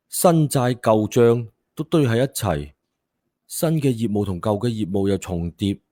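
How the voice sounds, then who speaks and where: An actor playing a proud, jaded young graduate who grumbles dismissively, in audio drama style. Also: male voice, fairly low pitch, mellow, dry recording, studio quality. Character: jaded, proud young smooth-talking graduate, easily exasperated. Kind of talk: audio drama character acting.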